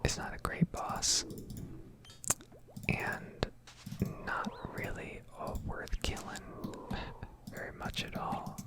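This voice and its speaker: A woman's voice: takes it slowly, long pauses. Whispering woman doing ASMR game commentary. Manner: hesitant, self-deprecating. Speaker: gaming video creator.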